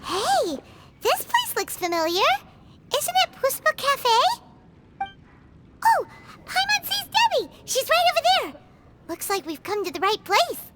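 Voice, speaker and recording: A woman voicing a tiny, excitable, childlike fairy companion in a video game, given to exclamations. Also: very high-pitched, measured pace, long pauses, very noisy, full character voice. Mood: curious. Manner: speaks of self in third person, exclamatory.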